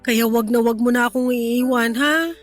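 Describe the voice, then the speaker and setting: Woman playing a high-voiced, remorseful Filipino mother. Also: steady tone, moderate pace, lightly acted. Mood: affectionate, pleading. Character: remorseful, affectionate mother, middle-aged, making peace with her child. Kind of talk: emotional radio drama dialogue. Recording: music bed under the dialogue.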